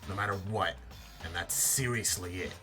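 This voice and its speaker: A man voicing a stoic, resolute teenage girl fighter reading out her farewell, grave and deliberate, in a game fan dub. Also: sits low, lightly acted. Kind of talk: fan voice-over of a visual novel game. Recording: game music behind the voice.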